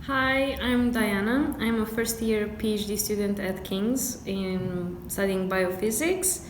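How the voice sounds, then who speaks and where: A woman's voice: medium pitch, slow, slight background noise. Young woman from Romania. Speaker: PhD student. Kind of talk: casual interview. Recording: echoey room, faint ambience.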